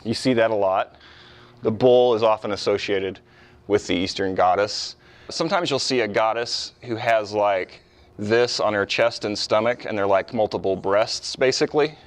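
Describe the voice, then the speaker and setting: Low-voiced man giving an informal history lecture. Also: fairly even intonation, moderate pace. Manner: conversational, explanatory, colloquial asides. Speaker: professor lecturing on ancient history.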